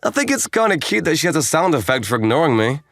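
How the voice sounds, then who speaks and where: An actor playing an easygoing, kindly young stepbrother in a manga dub, narrating and speaking casually. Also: male voice, on the high side, very animated, fast, fairly bright tone, dry recording, studio quality, soft background music. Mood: wry narration. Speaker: narrator and lead character.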